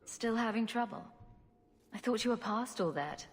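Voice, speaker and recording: A woman's voice: fairly high pitch, expressive, normal speed, breathy edge. An actress playing a wry, sardonic female adventurer hero in a video game. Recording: game audio with long reverb and music.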